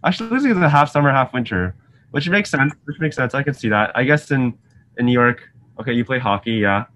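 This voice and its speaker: A man's voice: medium pitch, expressive, a little fast, fairly bright tone, a little hiss or hum. A young man from Singapore, a graduate student, gives a casual online science lesson full of ums and questions to his students. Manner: friendly, chatty, frequent ums, asks students questions. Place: lab office with shelves, indoors. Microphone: video call webcam.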